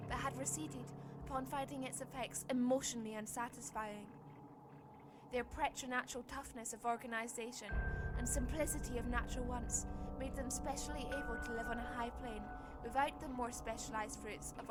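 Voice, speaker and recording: An actress playing a grave, awed woman professor and explorer in a formal, deliberate 1930s-style radio horror drama. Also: high-pitched, lightly acted. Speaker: actor in an audio drama.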